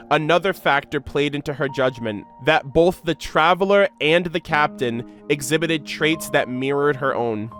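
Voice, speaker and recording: A man's voice: a little high, fairly bright tone. A man hosting a game reaction video, commenting and reading story text aloud over music.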